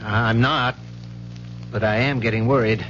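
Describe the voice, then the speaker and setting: An actor playing a worried, polite professor in a radio drama, a man speaking in a halting, hesitant way. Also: mid-range voice, steady tone, fairly bright tone, close to an everyday voice. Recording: dull, noisy vintage radio recording.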